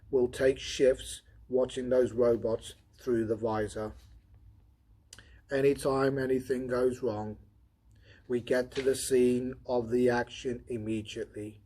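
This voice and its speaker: Man reading as a brusque, logical technician, slightly husky. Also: mid-range voice, warm tone. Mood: businesslike. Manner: dry, methodical, impatient. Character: brusque, logical, skeptical male senior robot field technician. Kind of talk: audiobook character dialogue.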